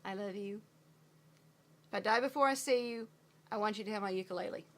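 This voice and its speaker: A woman singing with a slightly hoarse voice, sometimes talking between verses with self-deprecating, laughing asides. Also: medium pitch, brisk. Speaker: amateur ukulele player singing for a friend. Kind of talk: home recording of singing with ukulele and asides. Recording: loud ukulele playing under the voice.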